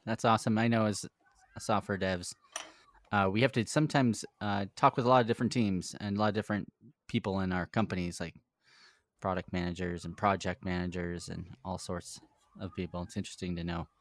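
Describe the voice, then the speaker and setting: A man's voice: sits low, steady tone, brisk, a little hiss or hum. Upbeat male podcast host. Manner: upbeat, asks guest questions. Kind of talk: podcast interview.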